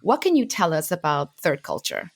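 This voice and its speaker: A woman's voice: low voice, wildly swooping pitch, normal speed, vocal fry. A woman hosting a podcast, reading a guest introduction and then interviewing her guest in an encouraging way. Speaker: podcast host. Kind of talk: casual interview.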